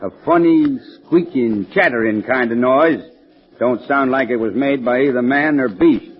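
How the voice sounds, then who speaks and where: A man's voice: mid-range voice, normal speed, lightly acted. Man playing a country cab driver, drawl, folksy and amiable, 1940s radio drama, dull lo-fi sound. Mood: chuckling, unworried. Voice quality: folksy drawl with dropped grammar. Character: folksy, chuckling rural New Hampshire cab driver. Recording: dull, lo-fi old radio recording.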